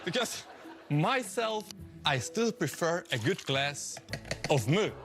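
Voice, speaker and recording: A man's voice: fairly high pitch, very animated, takes it slowly. A man from Switzerland, doing dry, tongue-in-cheek stage patter as a magician performing for judges. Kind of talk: scripted comic stage patter. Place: TV studio stage with live audience. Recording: studio stage with audience and music.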